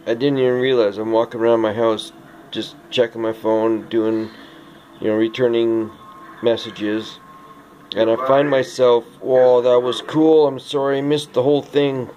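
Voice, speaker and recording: A man filming in his dark house, talking softly and friendly to orbs he hopes are there, his voice a little hoarse. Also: medium pitch, little pitch movement, moderate pace. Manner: talks softly to unseen orbs, friendly greetings. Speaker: vlogger filming orbs at home. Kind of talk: casual talk to the camera. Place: dark rooms of a house, indoors. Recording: dull lo-fi camera audio with noise.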